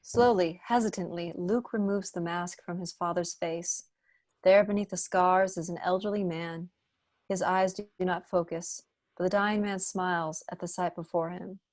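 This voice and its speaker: A woman reads scripted stage directions aloud at a table read. Her voice sits a little low, and the sound is close and dry. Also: steady tone, normal speed, a little mellow, no echo. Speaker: narrator reading stage directions.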